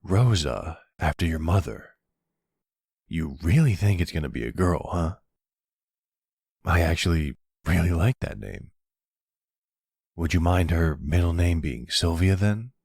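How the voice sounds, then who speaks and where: A man playing a tender, charming middle-aged mafia boss boyfriend and father in an ASMR roleplay, speaking gently and reassuringly. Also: low-pitched, animated, a little slow, gravelly, long pauses, no room sound, studio quality, exaggerated voice.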